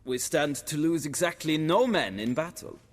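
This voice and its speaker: An actor playing an eager, deferential military officer in a video game, formal and respectful. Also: male voice, on the high side, expressive, moderate pace, long reverb tail. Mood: deferential, brisk.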